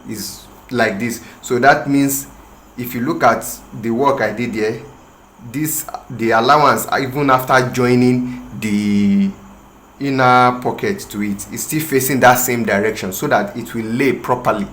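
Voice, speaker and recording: Slow Nigerian man explaining tailoring. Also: mid-range voice, a bit bright. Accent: Nigerian English, Yoruba. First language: Yoruba. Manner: slow, hesitant, explaining with pauses and restarts. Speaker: tailoring instructor. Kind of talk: tutorial demonstration. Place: room with a patterned rug, working on the floor. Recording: echoey room, faint ambient noise.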